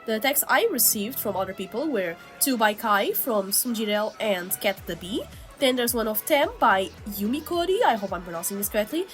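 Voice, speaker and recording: A woman's voice: mid-range voice, expressive, a little fast, fairly bright tone. A woman with a slightly sick voice giving casual, informal co-host commentary on drawings, chatty and full of "like" asides. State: sick, still under the weather. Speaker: co-host, digital artist. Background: background music.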